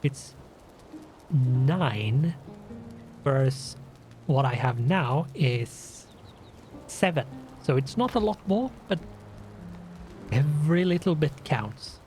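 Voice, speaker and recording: A man with a Scandinavian accent, sniffly from a runny nose, talking slowly through a game he plays. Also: a little high, expressive, a little mellow, faint music behind. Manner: slow, deliberate, with restarts and fillers. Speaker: gaming channel host. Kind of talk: casual let's play commentary.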